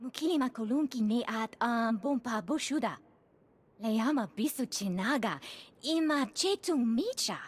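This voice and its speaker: A woman playing an alien in a video game, speaking an invented tongue with a husky voice. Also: fairly high pitch, lively intonation, normal speed. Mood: animated. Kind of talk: video game alien dialogue in gibberish language.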